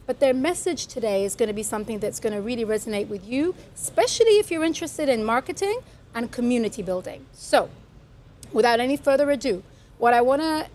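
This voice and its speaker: A woman's voice: on the high side, slight background noise. A woman presenting as a podcast host in an effusive, gushing manner. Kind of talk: upbeat show presenting. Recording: music behind the voice. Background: music.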